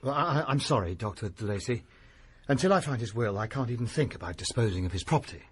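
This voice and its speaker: A blunt, stammering heir. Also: male voice, mid-range voice, animated, quick pace, husky. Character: nervous young gentleman heir, blunt and impatient. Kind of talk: radio drama acting.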